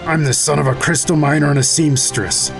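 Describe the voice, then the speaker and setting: An actor playing a blunt, tough second-in-command soldier in an audio drama, stern and challenging, with a gravelly voice. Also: male voice, a little high, steady tone, brisk, music in the background. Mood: firm, challenging.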